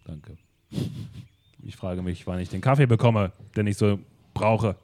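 A man playing a dry, deadpan, literal-minded male detective. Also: lowish voice, unhurried, lightly acted.

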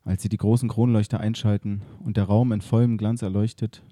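Ein Mann, Gastgeber eines Podcasts, erzählt ruhig und gemessen ein Kriminalhörspiel. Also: male voice, fairly low pitch, no echo, studio quality. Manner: calm, measured storytelling. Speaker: podcast host and narrator. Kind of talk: scripted narration.

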